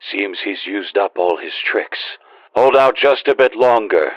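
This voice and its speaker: An actor playing a grave, urgent guide in a video game, husky and heard through a radio filter. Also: male voice, fairly low pitch, animated, unhurried, no room sound, highs cut off. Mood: grave, watchful. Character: grave, urgent male guide helping the player.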